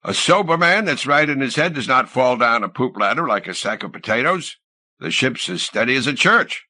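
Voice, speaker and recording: A man voicing a sour, suspicious middle-aged ship's captain in an audiobook, with a gruff, blustering manner of questioning. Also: mid-range voice, lively intonation, moderate pace, pushed and bright, dry recording, voice pushed up. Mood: puzzled.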